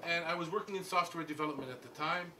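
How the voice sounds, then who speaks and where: A middle-aged American man talks to a visiting group in a relaxed, conversational way, walking them through his own story with small asides. His voice sits a little high for a man. The mic is some distance away and clear room noise runs under him. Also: quick pace. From United States. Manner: conversational, explains step by step with asides. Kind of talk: informal talk to a group.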